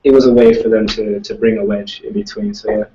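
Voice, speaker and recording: A man's voice: on the low side, very animated, fairly quick, vocal fry, mellow, recorded from a distance, dull audio. A young man, a student, answering audience questions in casual talk, thoughtful and rambling, with many restarts. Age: young adult. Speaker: student speaker.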